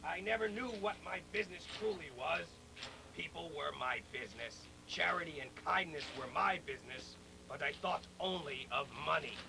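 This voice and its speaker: A man voicing a mournful, regretful ghost in chains who warns gravely and solemnly in a storybook read-along. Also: on the high side, lively intonation, background noise, voice pushed up. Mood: anguished regret. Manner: grave, slow, wailing, solemn.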